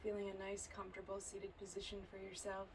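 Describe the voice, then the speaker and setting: Female yoga instructor, gentle guided-relaxation delivery, flat even intonation, slight background noise. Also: mid-range voice. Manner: soothing, gentle, unhurried phrasing.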